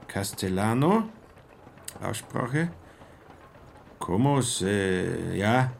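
Creaky-voiced, self-important young salesman. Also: fairly low pitch, a little hiss or hum, exaggerated voice. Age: young adult. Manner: stilted, pedantically correct speech. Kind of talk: radio play acting.

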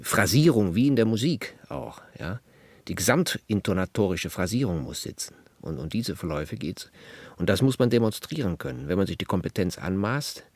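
A man's voice: low voice, no echo. A man, a radio drama director, giving his views assertively and in learned wording in an interview. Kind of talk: opinionated interview talk.

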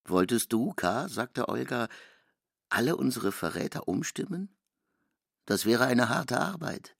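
Gravelly male storyteller. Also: mid-range voice, sing-song, measured pace, dry recording, studio quality, heavily acted. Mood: sombre. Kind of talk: literary narration in a radio drama.

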